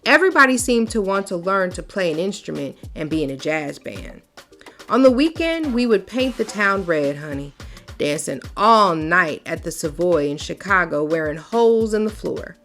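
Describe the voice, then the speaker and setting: A woman reading a picture book aloud for children in an expressive, folksy storyteller's way, then talking to her young viewers. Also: fairly low pitch, moderate pace, soft background music.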